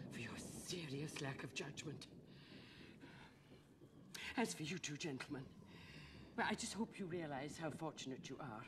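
An older actress playing a stern female school professor who speaks in a crisp, formal and reproachful way, in a film. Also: sits low. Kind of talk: film acting. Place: large stone-walled school washroom. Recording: film soundtrack with background noise.

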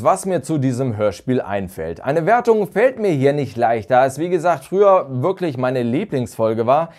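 A man's voice: on the high side, a little mellow. A man, presenting a scripted review to camera as host. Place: small furnished room indoors. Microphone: lapel mic.

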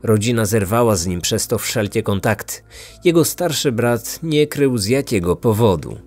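A man's voice: lowish voice, animated, moderate pace, a little mellow, soft background music. A man reading a scripted true-crime story as a podcast narrator.

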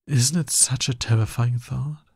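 ASMR roleplay villain, played by a man: male, smug and teasing, breathy low-key delivery, very dry close sound. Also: steady tone, darkish tone, dry recording. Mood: coaxing, knowing. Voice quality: husky, breathy. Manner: slow, condescending, teasing. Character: smug, seductive, dominant male villain courting his hero nemesis.

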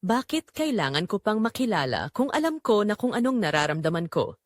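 A woman's voice: mid-range voice, big pitch swings, fairly quick, no room sound, clean studio sound, natural voice. A woman voicing a flirtatious, persistent male online suitor, coaxing and romantic, in an audio drama. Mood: longing.

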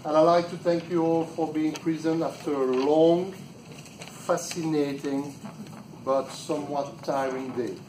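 A man's voice: fairly high pitch, fairly even intonation, a little slow, background noise. A middle-aged man with a French accent, a native French speaker, giving a chatty, self-deprecating conference presentation with small laughs. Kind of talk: plenary presentation. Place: meeting room. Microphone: far camera. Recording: echoey room from a distant camera.

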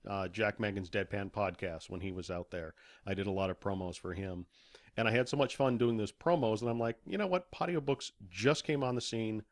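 A man's voice: on the low side, a little fast. A man speaking casually as a podcast guest, a writer and voice actor telling anecdotes. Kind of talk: casual anecdotal interview chat.

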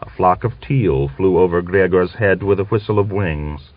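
Male audiobook narrator, low register, close and dry, on a slightly dull older recording. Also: moderate pace, no room sound. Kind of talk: scripted literary narration.

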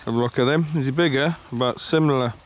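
A man vlogging a fishing trip outdoors by a lake, chatting excitably and bantering with his brother. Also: mid-range voice, quick pace, a little hiss or hum. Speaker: angler vlogger. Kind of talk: casual chat.

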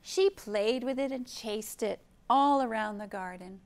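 A woman in her fifties leads a storytime for small children in an unhurried, fairly high voice with a creaky edge. She is gentle and expressive, keeping phrases simple and putting questions to her young listeners. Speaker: children's librarian hosting storytime. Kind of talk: read-aloud storytime for young children, with chat and song. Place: indoor library program room.

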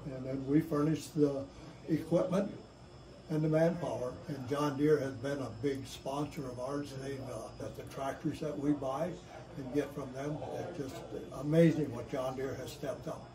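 A man's voice: medium pitch, fairly even intonation, normal speed, recorded from a distance, noisy background. An older man on the staff of a farm aid nonprofit, explaining its work plainly and practically in an interview. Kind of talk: interview answers. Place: indoor lobby with stone fireplace. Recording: echoey room from off the mic.

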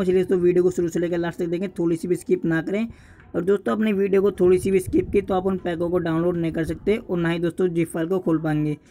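Fast, high-pitched young man. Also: a little mellow. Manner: repetitive, urging viewers with frequent address to friends. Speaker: tutorial YouTuber. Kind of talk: casual screen-recorded tutorial narration.

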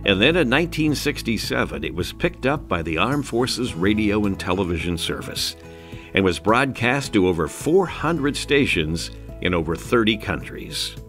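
Brief: male documentary narrator, low voice, lively intonation, music bed underneath. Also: moderate pace. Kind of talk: scripted narration.

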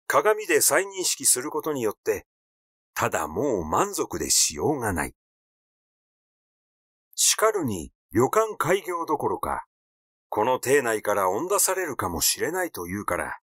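A man reading literary fiction aloud in an expressive, theatrical narrating style. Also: mid-range voice, dry recording, clean studio sound. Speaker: audiobook reader.

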